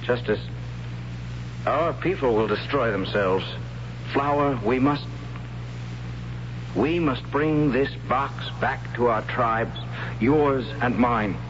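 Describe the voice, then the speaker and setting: A man's voice: medium pitch, slow, long pauses, natural voice. An actor playing an earnest, urgent young tribesman in an old radio drama. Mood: earnest urgency. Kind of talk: 1970s radio drama acting. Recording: muffled, noisy old radio recording, long reverb.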